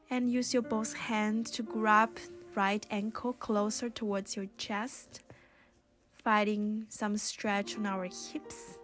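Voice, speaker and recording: Slow, even-toned woman guiding yoga over music. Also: mid-range voice. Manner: soothing, gentle, unhurried guiding with pauses. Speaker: yoga instructor. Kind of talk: guided yoga and meditation instruction.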